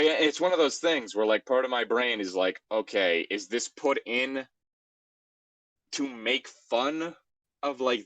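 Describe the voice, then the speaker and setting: A man's voice: mid-range voice, a bit bright, no room sound. A man co-hosting a show in casual chat, rambling wryly as he reacts to a story. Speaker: co-host of a fan fiction reading show.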